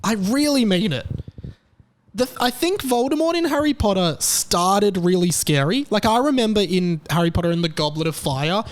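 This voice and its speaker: A man's voice: high voice, expressive, moderate pace. A young Australian man hosting a podcast, giving his opinions in an emphatic, casual monologue. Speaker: podcast host.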